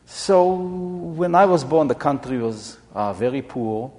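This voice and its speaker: A man in his seventies from Israel, explaining informally to an audience in a halting, reflective way. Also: a little high, steady tone, warm tone. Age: 70s. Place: large echoey room or hall. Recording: reverberant hall sound.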